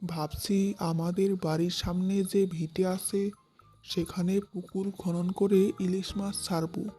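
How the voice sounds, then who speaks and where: A humble village man in a children's cartoon , acted by a man, with a mellow, dark voice. He speaks slowly and plainly, with little rise or fall in pitch. Faint music sits behind him. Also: level tone. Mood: hopeful. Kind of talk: scripted cartoon dialogue.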